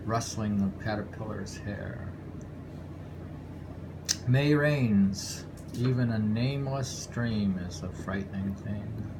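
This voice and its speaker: A man reading haiku aloud in a deliberate, musing way, pausing between poems and adding casual asides. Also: lowish voice. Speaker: poetry reader. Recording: very audible background noise, homemade recording.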